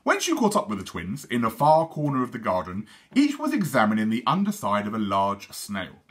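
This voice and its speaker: A British man, a teacher, reading a storybook aloud to children in an animated way. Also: medium pitch, a little fast.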